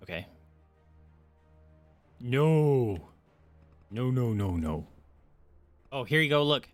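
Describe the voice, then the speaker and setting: A man's voice: mid-range voice, very animated, slow pace, dry recording, faint music behind. A man livestreaming a game, chatting informally and wandering into asides for his viewers. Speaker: game streamer. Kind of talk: casual chat while livestreaming a game.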